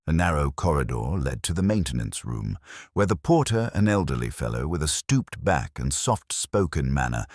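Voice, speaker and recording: A man reading a scripted first-person detective story in a measured, reflective, literary manner. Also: very deep voice, dry recording, clean studio sound.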